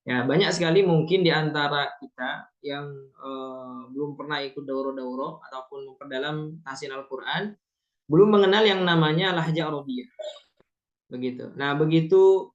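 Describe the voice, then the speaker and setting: A man's voice: a little high, moderate pace, muffled recording. A man teaching a training course on Quran reading, explaining patiently and punctuating his points with short affirming fillers. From Indonesia.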